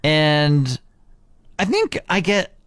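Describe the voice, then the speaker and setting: Male radio co-host, 30s, animated casual delivery, dry close studio sound. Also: on the high side, very slow, no echo. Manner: lively, rambling, laughs a lot. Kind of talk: casual morning radio chat.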